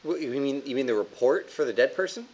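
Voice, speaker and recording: A man's voice: medium pitch, animated, fast, a little mellow, a little hiss or hum. A man chatting casually as a player in a tabletop game. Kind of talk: casual chat. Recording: dull lo-fi microphone sound.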